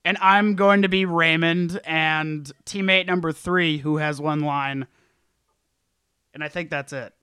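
A man's voice: on the high side, fairly even intonation, measured pace, bright, forceful tone, smooth, no echo, studio quality. A man chatting casually and jokingly as a podcast co-host. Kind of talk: casual comedic group chat.

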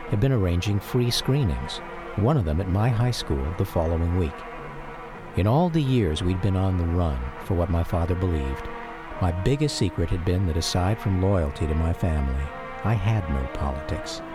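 A very low-pitched American man narrates a scripted memoir for radio. His delivery is measured and reflective, and music plays clearly behind him. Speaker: narrator of an autobiographical radio documentary drama. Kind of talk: scripted first-person narration.

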